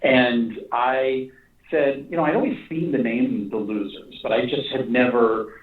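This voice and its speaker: A man being interviewed as a writer, chatting casually and haltingly, rambling and repeating phrases as he thinks aloud. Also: mid-range voice. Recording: echoey, distant, phone-like call audio.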